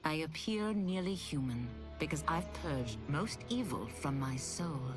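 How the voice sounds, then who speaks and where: A woman's voice: low voice, lively intonation, measured pace, music in the background. An actress voicing a proud demon woman seeking redemption in a video game, formal and intense. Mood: solemn, composed. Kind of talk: video game cutscene acting. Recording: game soundtrack behind.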